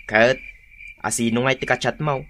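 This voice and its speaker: A man's voice: mid-range voice, fairly even intonation, normal speed, bright, forceful tone, a little hiss or hum, natural voice. A man acting an exasperated, teasing village husband with an Ilocano accent in a comedy radio drama. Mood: grumbling.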